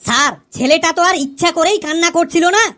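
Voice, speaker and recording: A woman's voice: extremely high pitch, a little fast, a bit bright. A woman voicing a cheeky male pupil in a comedy cartoon, explaining things to the teacher with mock earnestness. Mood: mock-earnest. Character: cheeky male pupil Kalu, joking about wives and women.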